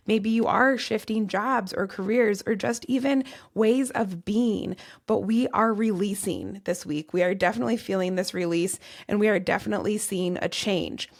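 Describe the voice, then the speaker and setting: A woman with a Midwestern accent hosting a solo podcast, her voice raspy and cracking from overuse, talking through topics in an earnest, list-like way. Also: mid-range voice. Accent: Midwestern American. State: strained, cracking voice. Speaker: podcast host and tarot reader. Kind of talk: solo podcast monologue on astrology.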